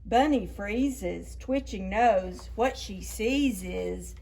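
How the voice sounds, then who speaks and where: A woman reading stories aloud to young children as a storytime host, expressive and deliberate, stretching out key words. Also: medium pitch, creaky, a little hiss or hum. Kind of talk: read-aloud storytelling for preschoolers.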